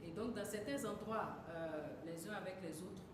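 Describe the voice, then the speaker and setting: Woman, live sermon interpreter, hesitant self-corrections, mid pitch, far from mic, very echoey hall. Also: normal speed, noisy background.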